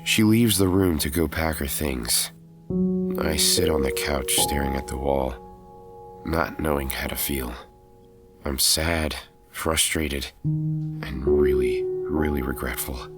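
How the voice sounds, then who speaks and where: A man performs a brooding hero telling his own story in first person, as audio drama narration. The voice sits very low, with a clear bed of music under it. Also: fairly even intonation, slow. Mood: regretful.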